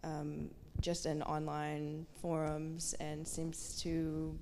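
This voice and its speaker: A woman's voice: deep voice, monotone, extremely slow, a little mellow. A woman speaking as a panelist at a university discussion in an earnest, considered way, with occasional fillers. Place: university event hall. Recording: long hall reverb, faint background noise.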